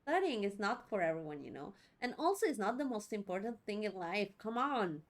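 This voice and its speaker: A woman's voice: medium pitch, sing-song, brisk, a crowd in the background. A young woman doing casual let's play commentary as a streamer, informal and sweary.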